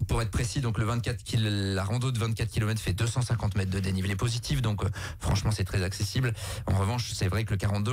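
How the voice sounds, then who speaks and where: A man's voice: fairly low pitch, darkish tone. A man with a French accent hosting a conversational local radio interview.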